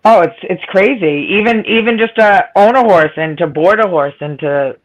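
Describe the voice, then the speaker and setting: A woman with a raspy voice and a strong Boston accent, chatting casually and laughing a lot. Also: mid-range voice, moderate pace, poor audio. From Massachusetts, USA. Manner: chatty, laughs often, repeats herself. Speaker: chat room participant. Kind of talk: casual chat.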